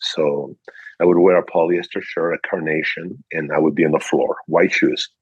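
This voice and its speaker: A man's voice: rumbling low, fairly even intonation, normal speed, slightly rough. A man in his sixties from Peru with a Peruvian Spanish accent, telling casual anecdotes as an interview guest and animatedly acting out quoted lines. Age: 60s. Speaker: interview guest, car dealership director. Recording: dull, echoey room sound.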